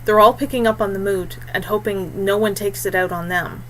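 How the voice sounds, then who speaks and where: A woman voicing an enslaved forest woman in an audiobook, anxious but steady and guarded. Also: mid-range voice, fairly quick, a little hiss or hum, close to an everyday voice, poor audio.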